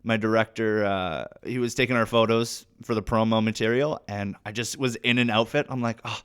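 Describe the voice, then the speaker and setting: A man's voice: lowish voice, steady tone, a little fast, fairly bright tone, clean tone. A young Canadian man, an actor and podcast guest, chatting casually and enthusiastically as he tells stories. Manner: chatty, enthusiastic, acts out quoted dialogue. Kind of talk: casual chat, animated storytelling.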